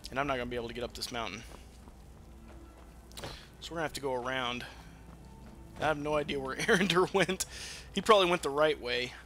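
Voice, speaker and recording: A man's voice: mid-range voice, fast talker, long pauses, a bit bright, soft background music. A man giving casual, rambling let's play commentary, with some swearing. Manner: rambling, profane. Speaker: gaming video host.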